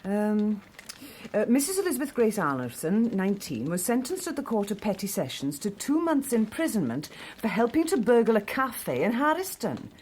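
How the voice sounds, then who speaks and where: A woman acting a brisk, no-nonsense, scolding wife in a radio drama. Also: medium pitch, very animated, darkish tone, lightly acted. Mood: matter-of-fact. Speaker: actress in radio play. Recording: faint background noise.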